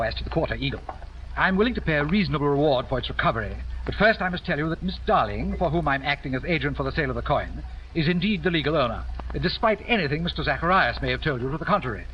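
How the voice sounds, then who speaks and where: A man's voice: on the high side, lively intonation, quick pace, lightly acted. A man playing a fussy, pompous coin dealer villain. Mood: smooth, wheedling. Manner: florid, hesitant, flowery phrasing. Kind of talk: 1940s radio acting. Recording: muffled vintage radio broadcast with hiss.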